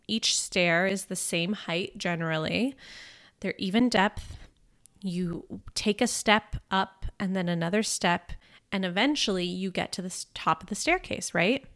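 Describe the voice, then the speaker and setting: A woman's voice: medium pitch, a bit bright. A young adult woman hosting a money podcast as a financial coach, talking in a casual, upbeat coaching tone with a congested, slightly groggy voice and frequent "right?" check-ins. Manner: upbeat, conversational, often asks "right?".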